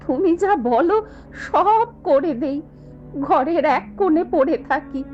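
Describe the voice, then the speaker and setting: A woman's voice: very high voice, slow, highs cut off. A woman playing a meek, sorrowful old mother-in-law in a cartoon, with a pleading, plaintive way of talking. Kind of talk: animated cartoon voice acting. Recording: faint music behind.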